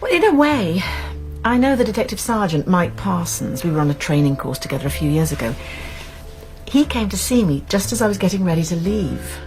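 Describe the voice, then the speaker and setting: An actress playing a sensible, caring college nurse in a British radio drama, speaking in a measured, articulate, earnest way with a British accent. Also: female voice, on the low side, very animated, a little mellow, faint music behind. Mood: friendly, guarded. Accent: British English. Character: college nurse, sensible, principled, caring woman amateur sleuth.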